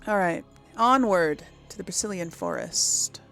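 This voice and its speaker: A woman's voice: on the low side, huge pitch swings, unhurried, fairly bright tone, soft background music. A woman hosting a casual video game role-play, musing and reacting to the game as she plays. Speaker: gaming video host and player. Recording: voice over clear game ambience. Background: video game ambience.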